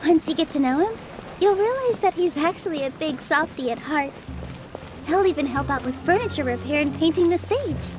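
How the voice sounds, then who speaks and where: A young woman playing a gentle, earnest female dancer in a video game, speaking softly and sincerely. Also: high voice, expressive, normal speed. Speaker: theater dancer. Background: game music.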